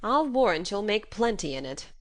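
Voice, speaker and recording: A woman voicing a stern older woman guardian who talks in a brisk, scolding, dry way. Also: medium pitch, big pitch swings, close to an everyday voice.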